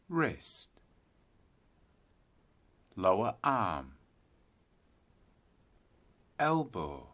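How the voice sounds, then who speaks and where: A man guiding a yoga nidra meditation in calm, deliberate, instructional phrases with space between them. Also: fairly low pitch. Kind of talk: guided meditation narration.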